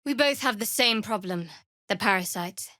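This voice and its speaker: A woman playing a guarded, sardonic young cleric in a fantasy video game, speaking in a measured, dry, wry way. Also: medium pitch, expressive, pushed and bright, dry recording. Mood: guarded, earnest.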